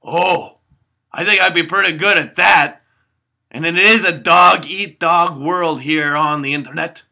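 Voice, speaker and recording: Man voicing a puppet host, raspy, high-pitched, very bright, cheerfully dim. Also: fairly even intonation, unhurried, full character voice. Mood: self-important. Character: naive self-important male puppet host, cheerfully dim. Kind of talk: comedic puppet show monologue. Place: indoor bedroom.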